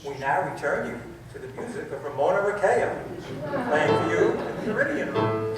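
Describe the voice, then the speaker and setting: A man's voice: high voice, sing-song, a little fast. A man playing a courteous, formal announcer introducing dance music in an old radio play. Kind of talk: 1930s radio acting. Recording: echoey, distant, loud music behind.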